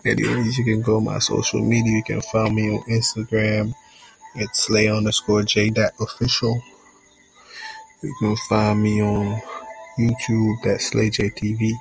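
A man's voice: fairly low pitch, monotone, unhurried, creaky, soft background music, rough recording. A young man from Jamaica hosting a casual solo podcast intro in a laid-back, rambling way, often saying "you know what I'm saying". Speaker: podcast host.

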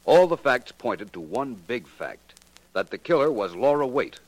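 An actor with an American accent playing a suave, assured detective in a 1940s radio drama, measured and pointed in delivery. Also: male voice, medium pitch, very animated, no room sound, rough recording. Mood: composed, explaining. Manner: measured, pointed, coolly deliberate. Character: suave, assured male amateur detective solving a murder. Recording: vintage 1940s radio recording.

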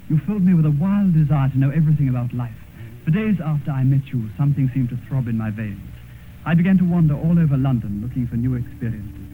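An actor playing a naive, petulant young gentleman in love in an old radio drama, earnest, intense and theatrical. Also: medium pitch, natural voice. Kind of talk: 1950s radio drama acting. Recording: muffled, hissy old radio broadcast.

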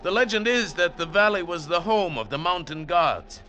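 An actor playing a solemn, superstitious mountain guide who speaks formally and gravely, in mid-century radio style. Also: male voice, high voice, animated, normal speed, bright, forceful tone. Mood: solemn, mysterious. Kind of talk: 1940s-50s radio acting. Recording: vintage radio recording with music behind.

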